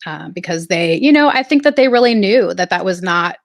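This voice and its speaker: Woman guest, from southern california, thoughtful and halting, dry close sound. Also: on the low side, lively intonation, dry recording. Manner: reflective, halting, many fillers. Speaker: guest artist. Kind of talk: casual interview storytelling.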